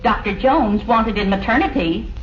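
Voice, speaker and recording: A woman plays a formal hospital announcer with a slightly high voice. It comes from a vintage radio comedy, muffled under heavy noise. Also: moderate pace, natural voice. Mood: businesslike. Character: female hospital announcer, formal paging call. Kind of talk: 1950s radio sketch acting. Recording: very noisy, muffled old radio recording.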